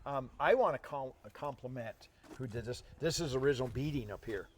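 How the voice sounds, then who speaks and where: A man's voice: mid-range voice, brisk, a little hiss or hum. A man co-hosting as a car expert, giving casual hands-on commentary in short, repeated admiring phrases. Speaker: car show co-host, car expert.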